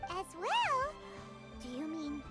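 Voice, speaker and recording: A tiny floating fairy girl from a video game, with an extremely high, squeaky voice that swoops up and down. She is childlike and excitable, drawing her words out over loud music. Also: slow, exaggerated voice. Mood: surprised, worried. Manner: excitable, speaks of herself in third person.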